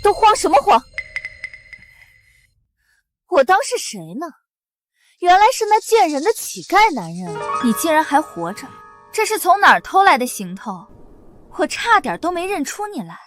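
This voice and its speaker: A woman's voice: extremely high pitch, sing-song, normal speed, long pauses, soft background music. An actress playing an arrogant, cruel pregnant noblewoman who talks down to everyone in a haughty, sneering way. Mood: scornful. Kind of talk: costume drama acting.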